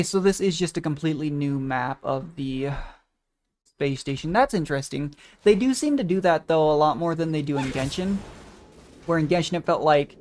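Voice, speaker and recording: A woman's voice: deep voice, a little mellow. A woman streaming a game with casual live commentary, musing and thinking aloud.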